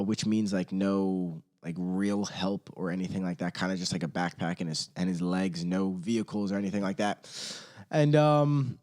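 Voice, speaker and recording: A young man hosting his own podcast, chatting casually in a rambling, slangy way, a little hoarse and tired. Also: fairly low pitch, a little mellow. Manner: rambling, laid-back, slangy. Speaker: podcast host. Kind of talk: casual solo podcast chat. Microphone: studio microphone.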